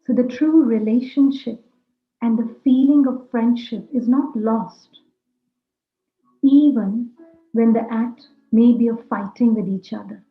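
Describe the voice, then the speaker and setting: A woman teaches guided meditation at a very slow pace, in short, measured phrases. Her voice carries a roomy echo, and music plays behind her. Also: on the high side, phone-quality sound.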